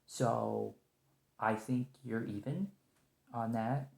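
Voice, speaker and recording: Man acting a halting, nervous agent, roomy echo. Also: mid-range voice, fairly even intonation, gravelly. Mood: apologetic, explaining. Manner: hesitant, halting, stammering, soft-spoken. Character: nervous, conciliatory special agent trapped by an anomalous creature. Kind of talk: ASMR roleplay, one-sided point-of-view dialogue.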